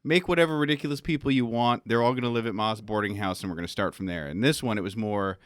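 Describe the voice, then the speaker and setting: Male game master, lowish clean voice, loose and informal explainer on a casual panel. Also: fast, no echo, studio quality. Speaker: game master of a roleplay audio drama.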